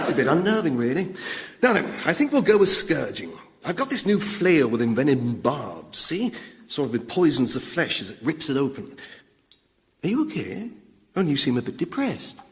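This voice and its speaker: A man's voice: on the high side, wildly swooping pitch, normal speed, a bit bright, full character voice. A man voicing a chatty, polite, dim and needy demon torturer in a radio sitcom, matter-of-fact yet self-pitying. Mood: chirpy. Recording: long hall-like reverb.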